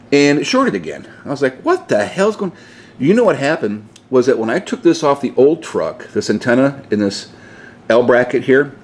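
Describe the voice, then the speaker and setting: A man hosting a casual how-to vlog, explaining things step by step in an informal, mildly salty way, recorded on a handheld camera in a garage. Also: lowish voice. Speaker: DIY video host. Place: inside a home garage, at a pickup truck. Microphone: handheld camera, held by the speaker.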